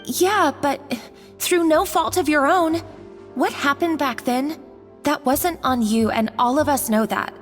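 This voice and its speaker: A woman's voice: high-pitched, unhurried, big-room sound, soft background music. An actress playing a loyal, earnest female restaurant worker in a video game, speaking insistently. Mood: earnest, aggrieved.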